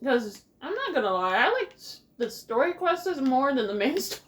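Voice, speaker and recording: Casting: woman gaming host, high lively voice, rambling and playful, casual commentary. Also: moderate pace. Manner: playful, rambling, sing-song exclamations. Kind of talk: casual Let's Play commentary.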